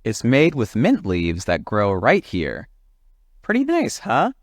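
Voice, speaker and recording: A man voicing a calm, helpful animal friend in a storybook, gentle and encouraging. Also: medium pitch, slow pace, no echo, studio quality, voice pushed up, heavily acted. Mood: gently encouraging.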